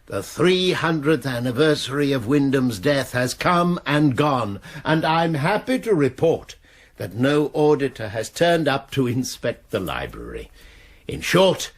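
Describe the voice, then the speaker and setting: Husky, urbane English college master. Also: male voice, medium pitch, very animated, measured pace. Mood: formal, pleased. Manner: formal, urbane, expository. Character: genial, donnish male master of a Cambridge college. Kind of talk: radio drama acting.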